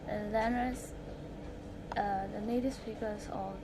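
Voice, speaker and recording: A teenage girl, a native Burmese speaker with a Burmese accent, presenting study tips in halting, short broken phrases. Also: on the high side, steady tone, background noise. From Myanmar. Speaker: language-learning vlogger.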